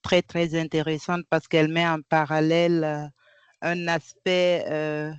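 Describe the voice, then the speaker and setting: A woman's voice: sits low, level tone, unhurried, clean tone, no echo. A woman from Guinea asking a question in an online conference over a video call, hesitant with many fillers and restarts. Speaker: audience member asking a question.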